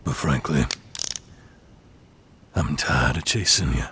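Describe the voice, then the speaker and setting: An actor playing a weary, menacing bounty hunter in a western audio drama, speaking formally and deliberately. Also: male voice, very deep voice, a bit bright, a little hiss or hum, exaggerated voice. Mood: cold, weary.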